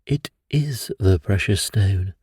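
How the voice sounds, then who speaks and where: A man voicing a brilliant Victorian gentleman detective in a bedtime story, precise and assured. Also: deep voice, slow pace, husky, no room sound, studio quality, exaggerated voice. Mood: assured, intrigued.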